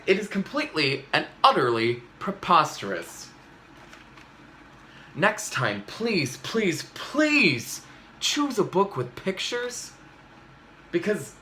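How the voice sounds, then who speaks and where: A man's voice: a little high, sharp tone, slight background noise, pitched above the performer's own voice, full character voice. A man voicing an exasperated grown-up reader of a children's storybook, mock-indignant and pleading. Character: exasperated grown-up reader forced to say silly things. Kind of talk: animated children's read-aloud.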